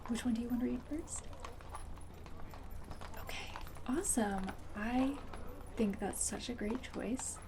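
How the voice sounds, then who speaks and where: A woman doing a soft-spoken ASMR roleplay as a host, breathy and partly whispered, with a gentle, friendly manner. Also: a little high, a little slow, long pauses, noisy background.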